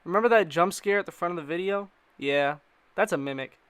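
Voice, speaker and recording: A man's voice: high voice, a little fast, a bit bright, smooth. A man narrating a casual explainer video in an informal, slangy way, with playful asides.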